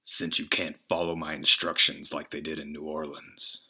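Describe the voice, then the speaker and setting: Guy doing a creepy slasher villain, real low voice, taunting and cold. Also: level tone, moderate pace, sharp tone, heavily acted. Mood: cold menace. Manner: menacing, taunting. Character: inhuman, menacing masked male serial killer, coldly taunting.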